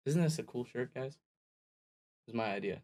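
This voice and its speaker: A playful man in his twenties, chatting as a podcast guest with laughs between his lines. His voice is at an ordinary pitch and his talk is loose and relaxed. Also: fairly quick. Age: 20s. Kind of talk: casual chat.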